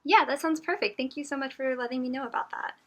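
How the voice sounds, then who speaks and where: A woman's voice: high voice, fast talker, a bit bright. A young adult woman answering a job interview on the phone, earnest and polite, with frequent fillers. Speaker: job applicant.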